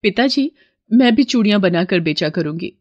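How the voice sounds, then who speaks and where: Brief: sweet, eager cartoon daughter, a woman voicing her, brighter pitch, dry close sound. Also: lively intonation, normal speed, no room sound, muffled recording, natural voice. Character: earnest young woman bangle maker daughter.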